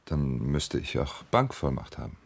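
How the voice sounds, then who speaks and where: A deep-voiced husband in a radio crime drama. He talks smoothly and evasively, with money and plotting underneath. The voice stays low. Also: very animated, measured pace, exaggerated voice. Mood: soothing, evasive.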